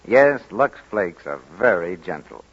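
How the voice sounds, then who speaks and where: A man's voice: low voice, big pitch swings, measured pace, slight background noise. A man announcing and reading a scripted commercial as a 1940s radio announcer. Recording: muffled 1940s radio broadcast.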